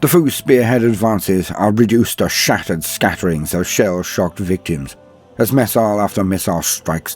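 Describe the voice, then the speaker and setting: A man delivering dramatic scripted narration as a host, solemn, theatrical and grandiose, with a husky voice. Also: deep voice, a little mellow. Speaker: host and narrator of a lore show.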